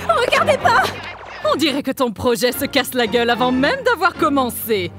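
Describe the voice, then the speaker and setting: A woman's voice: high-pitched, wildly swooping pitch, moderate pace, over loud music. A woman voicing a sarcastic, malicious demon TV news anchor in an exaggerated cartoon fandub style. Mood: gleefully mocking. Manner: sarcastic, theatrical.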